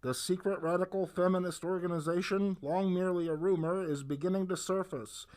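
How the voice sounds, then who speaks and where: An urgent, earnest comic-book bulletin reader, put on by a man, with a raised pitch. The reading sounds alarmed and dramatic. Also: fairly even intonation, fairly quick, a little mellow, natural voice. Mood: alarmed urgency.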